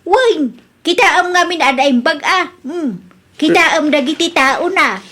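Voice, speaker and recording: Woman acting nagging high-pitched village auntie. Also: animated, a little slow, sharp tone, full character voice. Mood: suspicious. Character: middle-aged village aunt, suspicious, insistent, scolding vendor. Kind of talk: Ilocano comedy radio drama acting.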